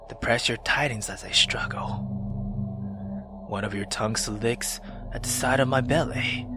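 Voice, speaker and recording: Guy doing a low whispery solemn horror game narrator, haunted and sad, slow, soft music behind. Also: natural voice. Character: haunted, sorrowful narrator-hero of a dark horror tale. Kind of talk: dramatic visual novel narration.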